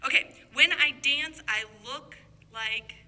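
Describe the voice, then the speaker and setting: A woman's voice: high voice, takes it slowly, pushed and bright, a little hiss or hum. A woman hosting a casual, chatty interview in an encouraging, affirming way. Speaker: host, interviewer.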